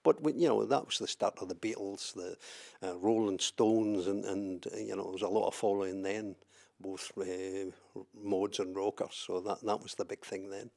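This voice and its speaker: A man's voice: fairly low pitch, steady tone, normal speed, gravelly, a little mellow. An older Scottish man from southwest Scotland, recalling his life in an oral history interview, hesitant with many fillers and repeated words. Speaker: interviewee, trade unionist.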